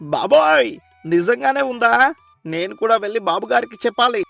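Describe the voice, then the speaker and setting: A woman voicing an excitable, credulous villager with a creaky voice in a moral story. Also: a little high, normal speed, dry recording, soft background music, exaggerated voice. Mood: excited awe.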